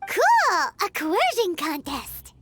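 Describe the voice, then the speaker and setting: A woman voicing a tiny, excitable, nosy female fairy sidekick in a video game, with a squeaky, cutesy delivery. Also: takes it slowly, faint music behind, exaggerated voice. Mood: excited, nosy. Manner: squeaky, cutesy, speaks of self in third person.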